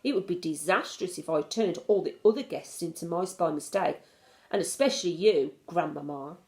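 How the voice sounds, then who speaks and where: A woman's voice: fairly low pitch, moderate pace, warm tone, natural voice. A woman voicing a plucky, eager young boy who has become a mouse, earnest and determined, in a storybook reading.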